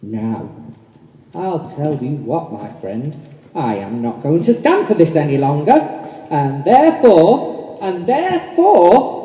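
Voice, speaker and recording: Casting: performer acting an exuberant, pleading old miser for a radio play, unhurried and mellow, with emphatic repeats. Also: medium pitch, big pitch swings. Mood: mock stern. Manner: theatrical, emphatic, repeats phrases. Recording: echoey hall, long reverb.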